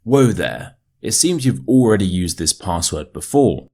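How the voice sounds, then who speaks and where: Man, modern RP British accent, lowish pitch, animated intonation, measured scripted story narration, careful enunciation. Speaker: English teacher reading a short story.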